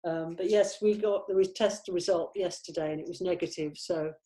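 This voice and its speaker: A middle-aged woman chatting casually as a producer in a planning meeting, agreeable and a little halting. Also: fairly low pitch, fairly even intonation, warm tone, poor audio.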